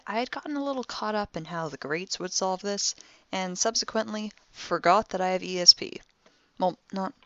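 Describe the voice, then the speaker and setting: A woman's voice: fairly low pitch, a bit bright, clean tone, no echo, muffled recording. A woman playing a wry, impulsive young amateur detective, recording a spoken log in an audio drama with sardonic, theatrically dry conversational delivery.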